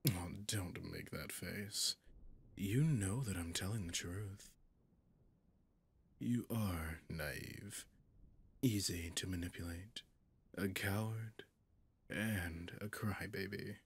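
A man voicing a seductive, possessive, menacing demon in an ASMR horror roleplay, husky and often whispering, with a teasing, condescending drawl. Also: deep voice, slow pace, pauses often, exaggerated voice. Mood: coaxing.

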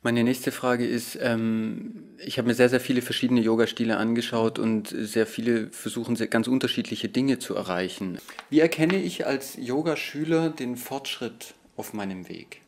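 A man in his thirties asking polite, measured interview questions with fillers, recorded indoors on a handheld recorder he holds. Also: medium pitch. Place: hotel lounge at a conference, indoors. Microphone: handheld recorder in his own hand.

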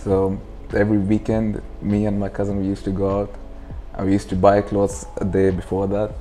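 Young man, mid-twenties, from the UAE. Low, mellow voice, casual explanatory interview delivery, light music behind. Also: monotone, normal speed. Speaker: interview guest, clothing brand founder. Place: furnished indoor lounge. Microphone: lapel.

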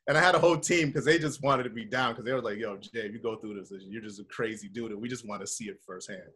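An American man in his thirties chatting casually on a video call, telling stories in a slangy, rambling, animated way. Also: medium pitch, fast, slightly rough. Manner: animated, slangy, rambling with frequent "you know" and "like". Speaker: actor being interviewed. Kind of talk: casual chat, storytelling. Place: indoor room, video call.

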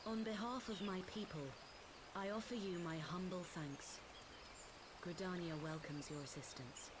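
A woman playing a gracious, solemn young female elder in a fantasy game, speaking in formal, measured phrases. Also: on the low side, noisy background, lightly acted. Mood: grateful. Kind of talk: scripted fantasy game acting. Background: loud music.

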